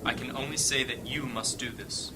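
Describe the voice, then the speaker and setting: An actor playing a solemn high-ranking church leader in a fantasy audio drama, speaking in a grave, formal, commanding way. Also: male voice, fairly even intonation, normal speed, close to an everyday voice. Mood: urgent, commanding. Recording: clear background ambience noise.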